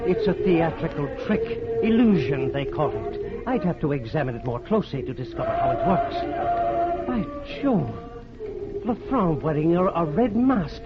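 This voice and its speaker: A noisy, muffled old radio recording of an anxious gentleman professor. His voice is high and swings up and down, excitable yet proper and educated, in vintage radio acting style. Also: moderate pace. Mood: astonished.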